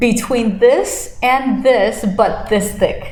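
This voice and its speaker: A woman's voice: a little high, darkish tone. A woman guest in a casual interview, talking in a chatty way and often checking in with "right?". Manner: quick, chatty, lots of "right?" and restarts. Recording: echoey room, faint background noise.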